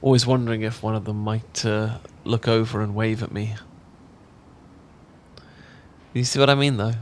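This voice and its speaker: A British man playing a shy, tender roommate in a romantic ASMR audio roleplay, speaking in a gentle, halting, earnest way. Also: on the low side, steady tone, slight background noise, close to an everyday voice. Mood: dreamy, fond. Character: shy, tender male roommate confessing love.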